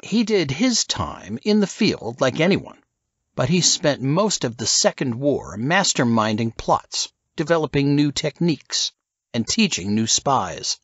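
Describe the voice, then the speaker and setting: A man's voice: mid-range voice, big pitch swings, a little slow, slightly rough, no room sound, dull audio, pitched above the performer's own voice. A man voicing a knowing, calculating male spymaster in an audiobook, curt and condescending as he instructs. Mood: instructive.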